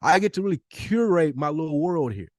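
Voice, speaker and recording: A man's voice: on the high side, animated, a little fast, dry recording, clean studio sound. A man co-hosting a podcast in casual, slangy debate, arguing his points emphatically and swearing freely. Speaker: podcast co-host.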